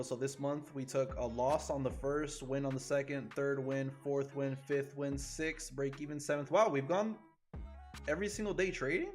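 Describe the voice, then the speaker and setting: A man from Chile, a forex trader chatting casually and excitably with his live viewers, swearing freely. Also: mid-range voice, gravelly. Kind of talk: casual livestream commentary. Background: faint music.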